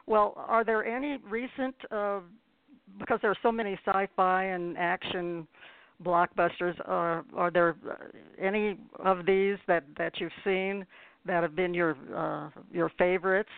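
A woman with a creaky, husky voice hosting a casual interview, agreeable and enthusiastic. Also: fairly low pitch, fairly even intonation, measured pace, no echo. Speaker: radio show host. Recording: dry, band-limited phone-like sound.

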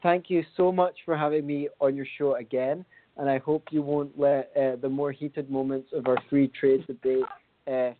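A man's voice: medium pitch, little pitch movement, moderate pace, a little hiss or hum. A man, a guest on a podcast, speaking politely and in an upbeat way. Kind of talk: casual podcast chat. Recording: tinny phone-like call audio.

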